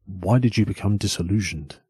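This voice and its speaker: A man playing a stern but caring priest and father in an audio drama, speaking with grave, measured authority. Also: on the low side, mellow, husky, dry recording. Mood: stern, formal.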